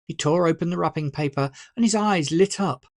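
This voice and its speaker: An author narrating a story in an expressive, deliberate, scripted audiobook style. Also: medium pitch, dry recording, studio quality.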